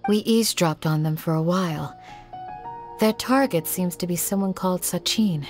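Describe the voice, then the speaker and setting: Woman playing a lowish-voiced, formal guardian. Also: over loud music, lightly acted. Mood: serious. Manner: polite, formal, measured. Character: composed, formal, protective female guardian warrior. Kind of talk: video game dialogue acting.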